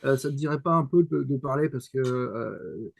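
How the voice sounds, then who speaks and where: A man's voice: mid-range voice, animated, vocal fry. A middle-aged man chatting casually as a panelist, hesitant and often restarting. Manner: hesitant, full of drawn-out pauses and restarts. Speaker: show panelist. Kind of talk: casual live show chat.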